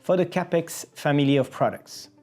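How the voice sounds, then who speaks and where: Product explainer presenter, medium pitch, methodical step-by-step delivery, music underneath. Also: moderate pace. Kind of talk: scripted-sounding product explainer.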